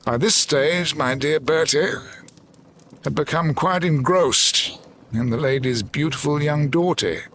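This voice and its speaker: An actor playing a grim, vengeful old general in a gothic audio drama, speaking formally and commandingly. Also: male voice, fairly high pitch, measured pace, fairly bright tone, a little hiss or hum. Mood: grave, haunted.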